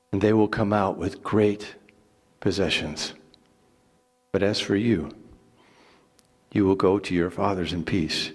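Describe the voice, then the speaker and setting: A man's voice: on the low side, in a large hall, voice pushed down. A man voicing God in a dramatic scripture reading, solemn, authoritative and emphatic in his declarations. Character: God, solemn authoritative male giving promises and commands.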